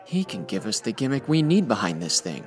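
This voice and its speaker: An actor playing a skeptical, wisecracking crime photographer in an old-time radio style, dry and exasperated, with hardboiled slang. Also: male voice, on the low side, big pitch swings, fairly quick, faint music behind. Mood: scheming. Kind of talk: old-time radio detective drama acting.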